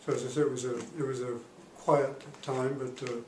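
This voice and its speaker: A man in his eighties, a retired diplomat, recalling his career in an oral history interview, pausing often with fillers. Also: medium pitch, flat delivery. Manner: halting, many pauses and fillers. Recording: roomy, distant mic, faint noise.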